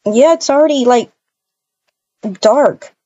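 A woman's voice: a little high, slow, mellow. A woman playing an impatient young mother in a casual improvised game roleplay, with a slangy, grumbling way of talking. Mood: annoyed. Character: impatient young mother, complaining to her partner. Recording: dull lo-fi game capture, faint noise.

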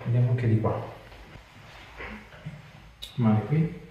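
A man's voice: medium pitch, slow pace, phone-quality sound. A man in his thirties, a chiropractor putting short clinical questions and instructions to a patient. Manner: brief, repeated questions and instructions. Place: small treatment room, indoors. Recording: very echoey room, distant mic, faint noise.

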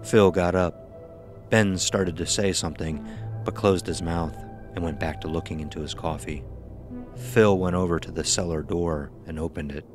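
A man's voice: sits low, steady tone, normal speed. A man reading a scripted horror story as its narrator. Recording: music behind the voice. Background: music.

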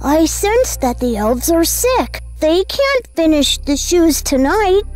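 A woman voicing a gentle, caring young child in a children's cartoon, sweet and earnest. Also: extremely high pitch, big pitch swings, slow, faint music behind, full character voice. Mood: earnest, concerned. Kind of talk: animated children's story acting.

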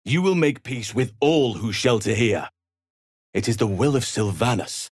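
An actor playing a big, calm, earnest male elf druid in a fantasy video game, speaking in a measured, gentle, solemn way. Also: medium pitch, husky, dry recording, studio quality.

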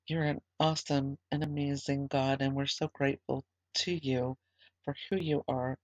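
Slightly hoarse woman leading an online service. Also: a bass voice, steady tone, moderate pace, no echo. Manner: conversational, with fillers and restarts. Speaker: ministry leader. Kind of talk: online service announcements and prayer.

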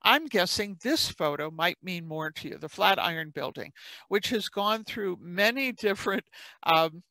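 A woman's voice: fairly low pitch, expressive, measured pace, pushed and bright, no echo, low-quality recording. A woman from the South Side of Chicago with a Chicago accent, giving a chatty online workshop presentation and acting out quoted speech.

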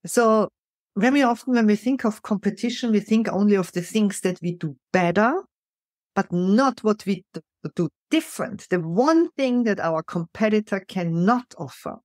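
A woman's voice: mid-range voice, moderate pace, no echo, studio quality. A middle-aged woman with a mixed European accent, telling stories emphatically as an interview guest. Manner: animated, emphatic storyteller. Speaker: guest, keynote speaker. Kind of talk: storytelling interview answers.

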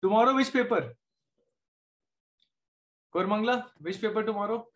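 Indian english guy teaching math online, kinda high voice, explains step by step and keeps asking if you got it, laptop mic. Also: moderate pace. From Jharkhand, India. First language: Hindi. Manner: explains step by step, checks in with students often. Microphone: computer mic on a video call.

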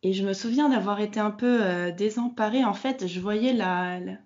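Female interviewer, medium pitch, reflective conversational delivery, somewhat dull recording. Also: normal speed, a little mellow. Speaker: podcast host, French teacher. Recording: muffled, band-limited podcast audio.

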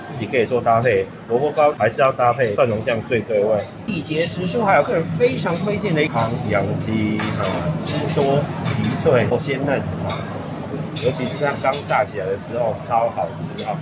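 A man with a Taiwanese Mandarin accent, chatting casually as he walks through a busy hotel buffet and lists the dishes one by one, often adding little tags like "ha" and "a". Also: mid-range voice. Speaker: food vlogger. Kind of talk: casual restaurant walkthrough. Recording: noisy restaurant background.

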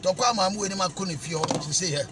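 A man's voice: sits high, very fast, heavy background noise. A middle-aged Ghanaian man preaching emphatically into a dashboard phone while driving. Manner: emphatic, preachy, repeats blessings. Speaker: evangelist. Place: inside a small car.

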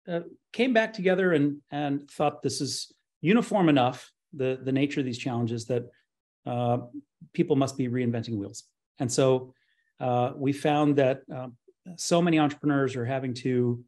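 A middle-aged man giving an online slide presentation in halting, pause-filled phrases. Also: medium pitch, a little mellow. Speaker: conference presenter, nonprofit co-founder. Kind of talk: slide presentation at a virtual expo. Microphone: webcam on a video call.

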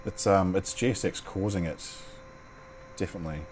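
A man's voice: deep voice, normal speed, a little mellow, slight background noise, rough recording. A man in his thirties with a New Zealand accent giving casual, rambling flight sim commentary full of ums and the odd swear, speaking into a headset at home.

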